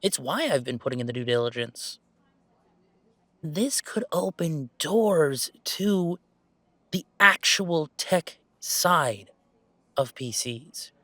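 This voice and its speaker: Man acting an expressive, earnest young werewolf gamer. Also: a little high, no room sound, exaggerated voice. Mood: earnest, hopeful. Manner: halting, emphatic, expressive, with sighs and chuckles. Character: earnest young male werewolf gamer and streamer, playfully reluctant. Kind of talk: intimate roleplay audio acting, conversational.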